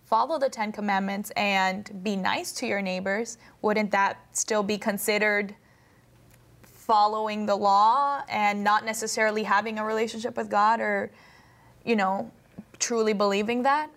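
A woman's voice: mid-range voice, fairly even intonation, measured pace, a bit bright. A woman leading a conversational Bible study in an informal, questioning way. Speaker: discussion leader.

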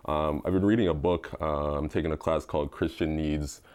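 A man from Canada, a seminary student speaking on a panel in a relaxed, thoughtful way with many fillers. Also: rumbling low, fairly quick. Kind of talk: casual discussion.

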